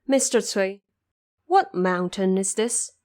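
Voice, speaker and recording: A woman's voice: medium pitch, slow pace, a little mellow, lightly acted. A woman voicing a fearful male emperor lost in the underworld in an audio drama, anxious, questioning and formal.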